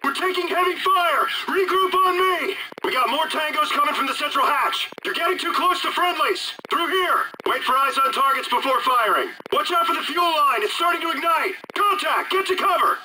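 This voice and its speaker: An actor playing an urgent military squad leader in a shooter game, giving clipped, commanding orders over a radio. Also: male voice, very high voice, lively intonation, moderate pace, hardly any pauses. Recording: loud noisy radio transmission.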